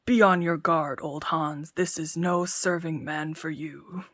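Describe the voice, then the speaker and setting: A woman voicing a huge, dim-witted male giant who growls slowly and loudly. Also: steady tone, no room sound, clean studio sound. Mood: wary. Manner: slow booming growl.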